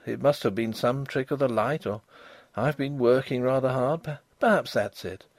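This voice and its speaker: A man voicing a shaken, proud gentleman in a dramatic story, with an urgent and proper manner. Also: mid-range voice, normal speed, no echo, close to an everyday voice. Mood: sheepish. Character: agitated, frightened gentleman, proud but shaken. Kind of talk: dramatic audiobook dialogue.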